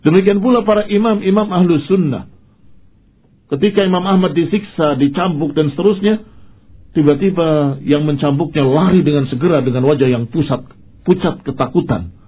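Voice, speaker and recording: Male Islamic preacher, raspy voice, instructive and emphatic lecture delivery, muffled narrow-band sound. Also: a little high, normal speed. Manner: didactic, emphatic, with Arabic phrases and rhetorical questions. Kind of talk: religious lecture. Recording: dull, muffled lo-fi lecture recording.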